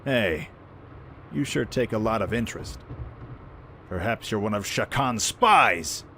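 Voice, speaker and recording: A man playing a brash, friendly young pirate prince in an animated, informal way for a fan audio drama. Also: fairly low pitch, unhurried, slight background noise, heavily acted. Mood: teasing, persuasive.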